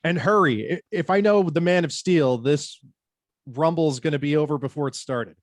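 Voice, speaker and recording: Man as a bossy newspaper chief, highish voice. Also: moderate pace, no echo, clean studio sound, natural voice. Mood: brisk, commanding. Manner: commanding. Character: brisk, bossy male newspaper editor chief. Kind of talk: amateur script table read.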